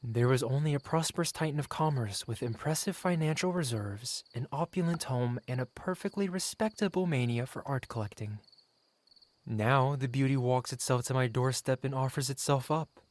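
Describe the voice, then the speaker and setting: A man's voice: medium pitch, moderate pace, lightly acted. A man playing a wealthy, covetous dragon in human form, speaking eloquently and formally in a theatrical ASMR roleplay monologue. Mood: proud, reminiscing. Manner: eloquent, formal, courtly, self-satisfied.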